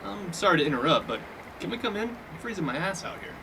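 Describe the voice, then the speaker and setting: A man's voice: high voice, very animated, fast, creaky, noisy background, exaggerated voice. A man playing a cocky, blunt young fraternity upperclassman with a chip on his shoulder in a comic radio play. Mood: brusque. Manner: blunt, slangy. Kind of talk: 1950s-set comic radio play acting.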